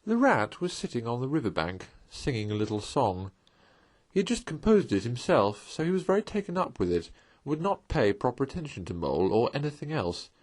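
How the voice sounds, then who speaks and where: A man reading a classic children's storybook aloud in a lively way. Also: mid-range voice, a little fast. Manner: lively, sings a verse in the story. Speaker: audiobook reader.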